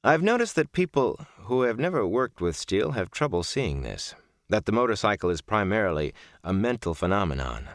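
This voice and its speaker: A man reading a first-person audiobook in a measured, reflective storytelling manner. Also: fairly low pitch, very animated, dry recording, clean studio sound. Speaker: audiobook narrator.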